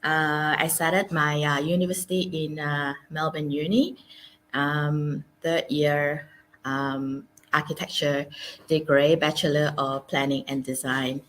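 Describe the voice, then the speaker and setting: A woman's voice: low voice, steady tone, takes it slowly, fairly bright tone, slight background noise. A woman in her forties from Malaysia, telling her career story as a guest, with frequent ums and uhs. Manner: hesitant, many ums and uhs. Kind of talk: casual interview storytelling. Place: Melbourne.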